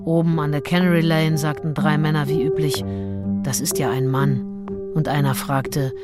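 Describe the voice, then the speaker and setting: Actress as confessional 19th-century gentlewoman over loud music. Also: low-pitched, flat delivery, normal speed, lightly acted. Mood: wounded, matter-of-fact. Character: Anne Lister, ardent, troubled 19th-century gentlewoman diarist. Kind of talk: radio drama diary monologue. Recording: music behind the voice.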